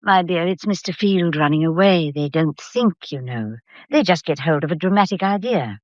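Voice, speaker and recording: An actress playing a loyal, anxious and stubbornly protective businesswoman in a radio mystery, earnest and brisk. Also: fairly low pitch, expressive, a little mellow, no room sound, lo-fi sound, close to an everyday voice. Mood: concerned. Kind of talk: radio drama acting.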